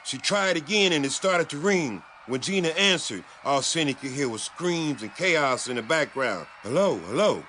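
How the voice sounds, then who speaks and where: A man's voice: on the high side, lively intonation, moderate pace, few pauses, bright, forceful tone, a little hiss or hum. A man giving a dramatic scripted reading as an audiobook narrator.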